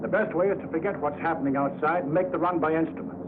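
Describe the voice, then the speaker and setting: A man giving a brisk, folksy military briefing in a 1940s style. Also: on the high side. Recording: tinny, noisy 1940s film soundtrack.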